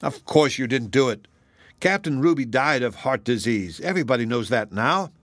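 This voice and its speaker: A man voicing a level-headed, good-humored young sailor, brisk and plain-spoken, in a storybook reading. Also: mid-range voice, animated, a bit bright, no echo, studio quality. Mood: reassuring.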